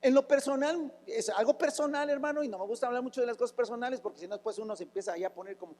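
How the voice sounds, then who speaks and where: High-pitched raspy preacher man. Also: very fast, darkish tone, in a large hall. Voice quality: raspy, rough voice. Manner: fervent preaching with rhetorical questions and sudden exclamations. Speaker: pastor. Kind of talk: sermon.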